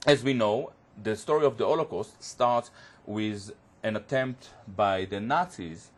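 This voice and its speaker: Male panel guest, Hebrew native, halting delivery full of fillers, studio setting. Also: lowish voice. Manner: halting, searching for words, many fillers. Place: community TV studio.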